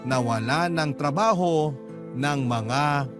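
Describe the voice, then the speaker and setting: A man's voice: fairly high pitch, slow. A man with a Filipino accent reading a breadwinner's confessional letter as scripted first-person radio storytelling. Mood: earnest, confessional. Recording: prominent music under the voice.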